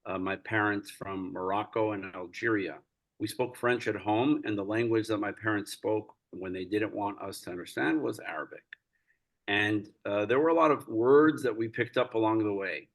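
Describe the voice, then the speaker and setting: Earnest gravelly-voiced man lecturing. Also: fairly low pitch, normal speed, low-quality recording. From United States. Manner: earnest, storytelling, gets animated and laughs while recounting. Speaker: rabbi, guest speaker. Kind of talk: lecture-style talk.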